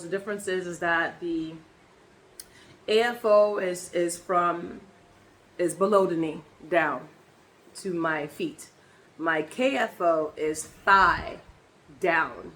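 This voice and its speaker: A woman with a hoarse voice from laryngitis, chatting casually in a personal vlog and rambling with many ums and restarts. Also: fairly low pitch, fairly bright tone, slight background noise, low-quality recording. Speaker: vlogger.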